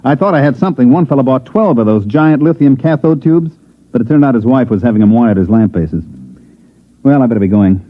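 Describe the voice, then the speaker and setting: A man's voice: medium pitch, animated, a little fast, muffled recording, lightly acted. An American man playing a wry, hard-boiled government investigator, acting and narrating in a sardonic 1950s radio drama style. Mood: dry, casual. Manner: wisecracking, sardonic delivery. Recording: thin, band-limited old radio recording with music.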